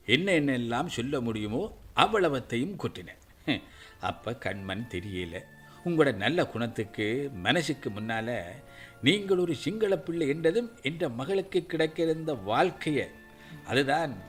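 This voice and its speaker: A man's voice: mid-range voice, a bit bright, lightly acted. A man playing a remorseful older father. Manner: slow, heavy, contrite speech. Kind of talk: radio drama acting.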